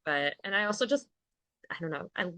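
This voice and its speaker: A woman's voice: fairly low pitch, lively intonation, a little fast, pushed and bright, no echo. A young adult woman, a podcast guest, telling stories in a chatty, candid way. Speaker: guest, Paralympian and actor. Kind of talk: casual interview chat.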